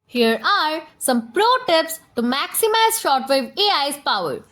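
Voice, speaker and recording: A woman presenting a scripted software tutorial, giving step-by-step instructions straight to the viewer. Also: sits high, very animated, unhurried.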